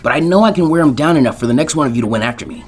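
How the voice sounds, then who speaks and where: A man's voice: on the high side, rapid-fire, slightly rough. Earnest, stubborn teenage ninja boy, voiced by a man. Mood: determined.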